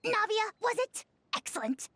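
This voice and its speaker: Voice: sing-song, normal speed, pushed and bright, dry recording, full character voice. An actor voicing a tiny, boastful pixel dragon lord in a video game, haughty, bombastic and easily outraged. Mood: smug. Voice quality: shrill, creaky. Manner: pompous, haughty, bombastic declamation. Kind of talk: video game character acting.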